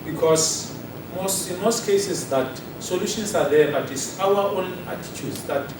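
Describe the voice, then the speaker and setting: A man's voice: high voice, unhurried. A man giving an earnest public speech at a debate. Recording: echoey hall from far off, noisy.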